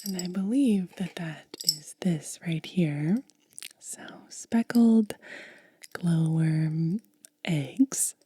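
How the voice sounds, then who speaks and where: A woman doing a whispered ASMR unboxing, breathy and gentle, lingering over her words close to the microphone. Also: lowish voice, extremely slow. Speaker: ASMR host.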